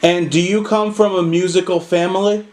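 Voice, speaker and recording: A man hosting an interview, asking his guest questions in a polite, measured way. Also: sits high, steady tone, a little hiss or hum. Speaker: interview host.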